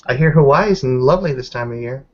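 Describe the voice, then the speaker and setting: A man's voice: medium pitch, animated, a little fast, highs cut off, slight background noise. A man improvising narration as game master of a tabletop roleplaying session.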